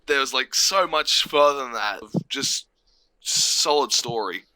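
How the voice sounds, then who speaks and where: A man's voice: medium pitch, expressive, unhurried, bright, forceful tone, dry recording, low-quality recording. A man from Australia, a voice actor, answering questions casually in an interview.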